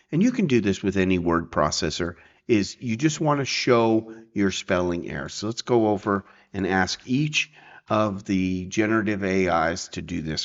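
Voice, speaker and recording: A man presenting a casual software tutorial, explaining informally and checking in as he goes. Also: fairly low pitch, lo-fi sound.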